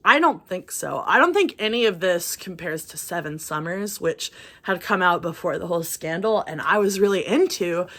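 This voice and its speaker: A woman's voice: on the low side, lively intonation, normal speed, fairly bright tone. A woman from rural Texas co-hosting a casual album review, blunt and profane, laughing often. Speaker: music review co-host.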